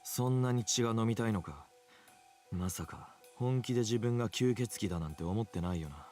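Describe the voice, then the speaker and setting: Male actor as detached young man, lowish voice, very fast, blunt, visual novel, faint music. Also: darkish tone, natural voice. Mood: cool, blunt. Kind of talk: Japanese visual novel acting. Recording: game audio with music behind.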